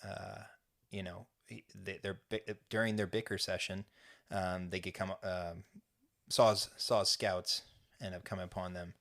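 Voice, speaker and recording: A man's voice: sits low, little pitch movement, a little slow, gravelly, a bit bright. A man co-hosting a fan podcast, recapping an episode in casual chat with lots of restarts and fillers. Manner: rambling, many restarts and fillers. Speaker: podcast co-host.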